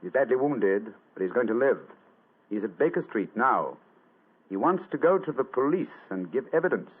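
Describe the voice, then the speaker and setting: An actor playing a brilliant, self-assured English detective in a radio drama, brisk and precise. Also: male voice, mid-range voice, very animated, husky. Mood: grave, sly. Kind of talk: classic radio drama acting. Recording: muffled lo-fi vintage-style radio recording.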